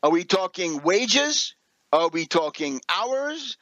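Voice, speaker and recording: A man with a fairly high voice delivers off-the-cuff political commentary in a dry, close sound. He is combative and sarcastic, leaning on rhetorical questions. His delivery halts and doubles back as he corrects himself. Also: sing-song, takes it slowly, fairly bright tone, no echo, dull audio.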